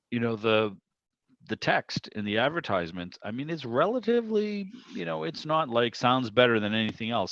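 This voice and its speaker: A man with a fairly low voice chats casually, wandering from one anecdote to the next. The sound is somewhat dull, like a video call. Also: fairly bright tone, no room sound. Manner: rambling, anecdotal storytelling. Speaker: radio show producer and interviewer. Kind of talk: casual hobby club chat.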